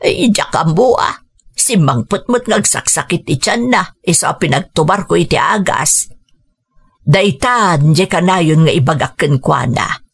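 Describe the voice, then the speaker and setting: A woman's voice: lowish voice, animated, unhurried, gravelly, husky, heavily acted. A woman with an Ilocano accent playing a long-suffering, caring old grandmother in a radio drama, plaintive and weary. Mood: weary, troubled.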